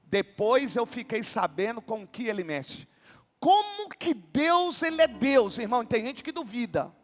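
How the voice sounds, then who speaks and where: A Brazilian man, a pastor, telling a story in a sermon and then preaching with rising passion, at times shouting. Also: very high voice, big pitch swings, measured pace, big-room sound. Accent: Brazilian Portuguese.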